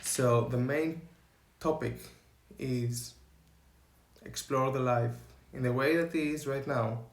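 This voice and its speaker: A young man with a Greek accent, talking earnestly to camera in slow, halting speech as he searches for words. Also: mid-range voice, fairly even intonation, roomy sound. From Greece. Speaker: vlogger.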